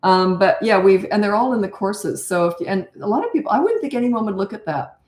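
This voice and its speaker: Older lady with a raspy voice chatting on a live stream, blunt, plain middle pitch, echoey. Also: animated, brisk, a little mellow. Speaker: parenting coach.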